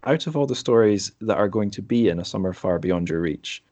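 A man's voice: fairly low pitch, animated, brisk, a little mellow. A man hosting a podcast, chatting casually and informally through an interview about books, with asides as he explains. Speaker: podcast host.

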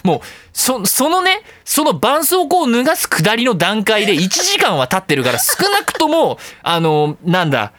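A man's voice: high-pitched, moderate pace, hardly any pauses, no echo. A man hosting a casual comedic radio talk, telling stories in a self-mocking, joking way and acting out bits of dialogue. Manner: animated storyteller, acting out dialogue and game sounds. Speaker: radio talk show host. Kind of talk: comedic casual monologue about games.

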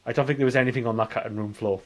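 A man's voice: medium pitch, steady tone, racing. Emphatic British man in podcast chat. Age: 40s. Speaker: film review podcast co-host. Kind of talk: casual film discussion.

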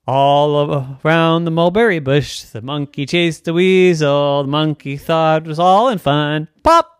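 Casting: reader doing a playful singing cave, loud sing-song chanting. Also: mid-range voice, measured pace, clear, resonant tone, no echo, clean studio sound, close to an everyday voice. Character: mysterious singing cave, secretly a girl.

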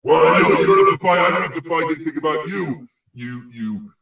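A man's voice: a little high, animated, brisk, gravelly, pushed and bright, heavily acted. A man playing an angry, boastful ghost in a comic audio play, sputtering crude insults. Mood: contemptuous. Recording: very echoey, distant microphone.

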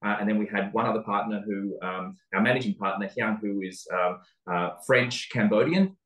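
Low-voiced Australian man in a roomy space. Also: normal speed, fairly bright tone. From Sydney, Australia. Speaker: interview guest, venture capital investor. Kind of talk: conversational interview answer. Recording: roomy, echoing sound.

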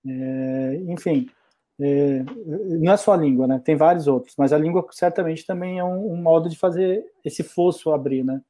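A man's voice: on the high side, moderate pace, mellow. A middle-aged man from Brazil, a mathematics professor, talking reflectively in a casual conversation with many restarts.

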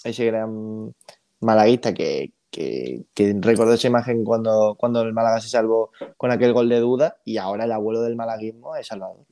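A man chats as a radio co-host. His voice sits fairly low, with a soft, dark warmth. He talks casually and likes to joke. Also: moderate pace, vocal fry, no room sound. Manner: joking, bantering. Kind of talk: casual chat.

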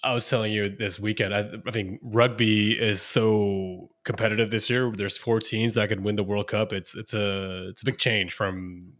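A man's voice: fairly low pitch, little pitch movement, moderate pace, few pauses, fairly bright tone. A man co-hosting a podcast in casual chat, easygoing and wry, swearing now and then. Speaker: podcast co-host.

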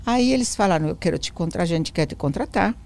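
A woman's voice: on the low side, fast talker, creaky. A woman in her sixties or older who speaks Portuguese with a foreign accent, telling her story as an interview guest and acting out the dialogue she quotes. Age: 60s or older. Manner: animated storyteller, acts out quoted dialogue, repeats phrases.

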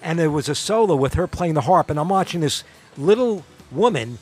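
A man's voice: a little high, lively intonation, a little fast, darkish tone, slightly rough. A man co-hosting a talk show, chatting in a rambling way and often searching for words. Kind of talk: casual banter.

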